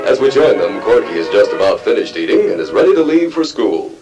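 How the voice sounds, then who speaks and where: A man's voice: lowish voice, very animated, fairly quick, music in the background. A man announcing and narrating a 1950s radio comedy in scripted style, on an old recording.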